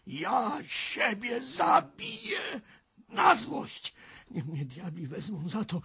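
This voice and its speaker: An old castle servant in a radio play, telling a dark tale. His voice is very husky and full of creak, and he speaks slowly and haltingly, with a haunted, folksy manner. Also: sits high, heavily acted. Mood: agitated. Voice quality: raspy, creaky. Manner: halting, folksy storytelling, dramatic. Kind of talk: radio drama acting.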